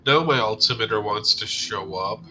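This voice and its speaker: Congested man with a bright, sharp tone. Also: fairly low pitch, normal speed, slight background noise, rough recording. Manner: short, laid-back replies. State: congested, sick. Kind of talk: casual gaming chat.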